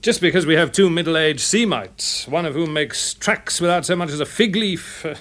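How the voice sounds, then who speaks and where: An actor playing a sensible, sceptical English police inspector in a radio drama, chummy and questioning. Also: male voice, a little high, moderate pace, fairly bright tone, natural voice. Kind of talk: BBC radio drama acting.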